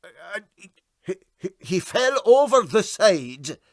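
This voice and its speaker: Penitent Scottish sea captain, performed by a male reader, flustered and lamenting, higher-pitched, very animated, comic storybook. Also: slow pace, no room sound, studio quality, heavily acted. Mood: flustered excuse. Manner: stammering, lamenting. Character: penitent, flustered steamboat captain, guilt-ridden and pleading. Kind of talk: comic storybook character reading.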